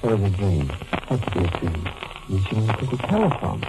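An actor playing a tormented, paranoid doctor on the run in melodramatic 1940s radio suspense style. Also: male voice, lowish voice, sing-song, a little fast, loud music behind the voice. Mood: haunted narration. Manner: melodramatic, haunted, intense. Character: tormented, paranoid male doctor on the run, narrating his nightmare. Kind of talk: 1940s radio suspense acting, first-person narration and dialogue. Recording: noisy, crackling 1940s radio recording.

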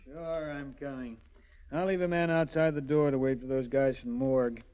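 An actor playing a gruff, sarcastic police sergeant in a 1940s radio drama, blunt and comically exasperated. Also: male voice, medium pitch, steady tone, normal speed, close to an everyday voice. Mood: grumbling, sarcastic. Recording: dull, lo-fi 1940s radio recording.

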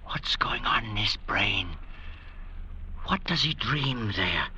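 A man in a 1940s radio drama playing an anxious, bitter French forger, with a slow, insinuating, theatrical delivery on an old radio recording. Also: on the low side, expressive. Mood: suspicious, bitter. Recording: dull, noisy vintage radio recording.

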